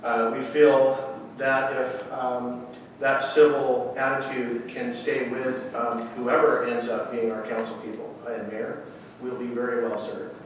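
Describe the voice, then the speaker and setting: A man giving earnest public comment as a local business owner, halting with frequent ums and uhs. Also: mid-range voice, flat delivery, a little hiss or hum. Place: council chamber. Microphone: podium mic heard from a distance. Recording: echoey hall, distant mic.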